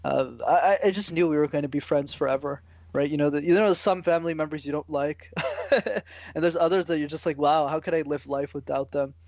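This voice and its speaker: Canadian guy chatting easy in an interview, slightly high voice, dry dull sound. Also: brisk, no echo. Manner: casual, relaxed, uses mild slang. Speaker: guest, public speaking coach. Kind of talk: conversational interview answers.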